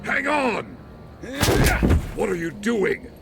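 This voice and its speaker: Gravelly, brooding vigilante. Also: male voice, high-pitched, lively intonation, slow, heavy background noise, heavily acted. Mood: straining, urgent. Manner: terse, muttering to himself. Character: brooding male vigilante, grim and self-critical. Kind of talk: audio drama acting. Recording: roomy echo under loud music.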